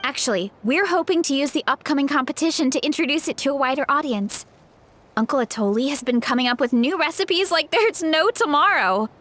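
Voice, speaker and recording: A woman voicing a sweet, modest young farm woman in a video game, speaking in a gentle, friendly, reassuring way. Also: sits high, expressive, a little fast. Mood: cheerful, inviting. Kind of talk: animated video game voice acting. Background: soft background music.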